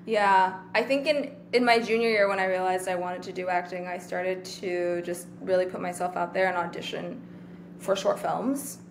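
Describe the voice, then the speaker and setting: A person in their twenties from Taiwan, chatting casually as an interview guest, relaxed and rambling with lots of fillers. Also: female voice, fairly low pitch, normal speed, slight background noise. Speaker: guest actor.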